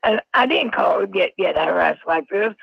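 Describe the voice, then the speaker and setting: A man phones in through a voice changer with a high, extremely creaky voice. He is halting and repeats himself, and the dull, dry call sounds like a radio. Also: animated, no echo. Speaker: caller to a live stream. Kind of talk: unscripted phone-in.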